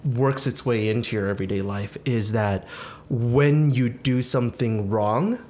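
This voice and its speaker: A man's voice: medium pitch, measured pace, darkish tone, a little hiss or hum. A man born in Taiwan, a podcast guest talking casually with plenty of fillers and restarts. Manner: chatty, lots of fillers and restarts. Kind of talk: casual chat.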